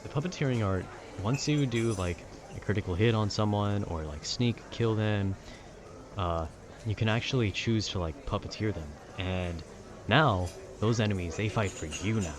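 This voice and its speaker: A man's voice: on the low side, normal speed, background noise. A man chatting casually in a laid-back, meandering, slangy way, as if rambling to a friend about video games. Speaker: audio roleplay creator.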